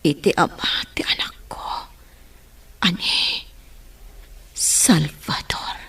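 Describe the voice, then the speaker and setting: A woman playing a dying mother in an Ilocano radio drama, pleading weakly in halting, breathy gasps. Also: lowish voice, pushed and bright, exaggerated voice. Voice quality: breathy, whispering. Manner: weak, halting, gasping delivery.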